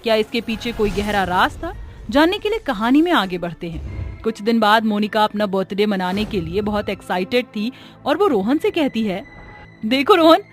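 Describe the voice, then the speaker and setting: A woman's voice: medium pitch, lively intonation, normal speed, soft background music. A woman narrating a scripted horror story in a dramatic storyteller style.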